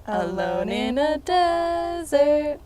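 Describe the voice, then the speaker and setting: A woman voicing Tuna, a cheerful puppet who sings a slow rhyming song and tells silly jokes to children. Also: high voice, very animated, a loud crowd, voice pushed up, exaggerated voice. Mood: singing. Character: cheerful joke-telling puppet named Tuna. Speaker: children's puppet show performer.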